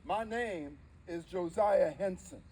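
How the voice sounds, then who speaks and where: A man playing a dignified, wry nineteenth-century preacher, telling his story in emphatic theatrical oratory with deliberate dramatic pauses. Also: high voice, creaky, darkish tone, husky, exaggerated voice. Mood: firm, dignified. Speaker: live history interpreter. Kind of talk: theatrical first-person oratory before an audience.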